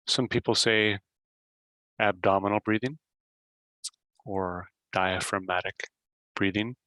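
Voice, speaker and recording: A man leading a guided meditation as host, giving simple instructions in short phrases with long pauses. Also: fairly low pitch, bright, forceful tone, no echo, poor audio.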